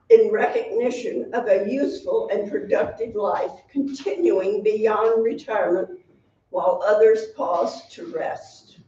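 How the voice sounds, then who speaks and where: A woman presenting an award at a town meeting, reading prepared remarks in a measured, formal way. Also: on the high side, dark tone. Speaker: hall of fame president presenting an award. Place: town hall meeting room. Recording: echoey hall, distant, dull sound.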